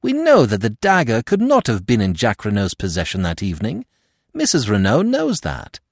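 A man voicing an earnest, eager, loyal gentleman companion to a detective in an audiobook. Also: fairly low pitch, big pitch swings, moderate pace, husky, no echo, clean studio sound, heavily acted. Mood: excited, insistent.

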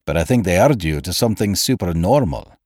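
A man playing a grave, authoritative doctor in an audiobook, with a grim, measured, gently commanding manner. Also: low voice, animated, no room sound, studio quality. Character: grave, authoritative male doctor, expert on the supernatural. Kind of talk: audiobook character acting.

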